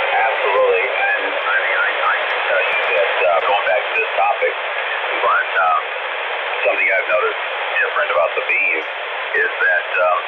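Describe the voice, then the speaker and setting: A man chatting casually and haltingly as a radio hobbyist, heard over a two-way radio. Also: lowish voice. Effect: two-way radio transmission. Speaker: amateur radio operator. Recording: muffled radio signal with loud static.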